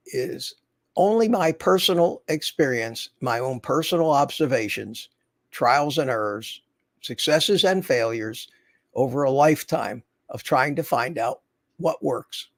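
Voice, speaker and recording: A man's voice: on the high side, animated, a little slow, no room sound. A man in his seventies hosting a casual livestream, chatting in a rambling, folksy way and answering viewers. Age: 70s. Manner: rambling, folksy, reads viewer comments aloud. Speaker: livestream host. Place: his home in Florida.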